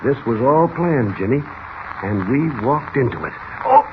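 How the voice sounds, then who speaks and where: Man playing a grave police commissioner, animated pitch. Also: a little slow, close to an everyday voice. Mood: grim, then pained. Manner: grave, urgent, commanding. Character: cautious, authoritative male police commissioner. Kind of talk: 1940s radio serial acting. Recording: muffled, noisy old radio recording.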